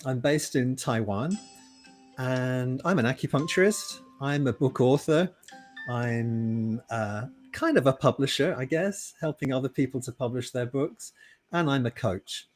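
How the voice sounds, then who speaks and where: A middle-aged British man with a British accent, a podcast guest who answers questions like a thoughtful, measured storyteller. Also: medium pitch, soft background music. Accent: British English. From Britain. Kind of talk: conversational interview answers.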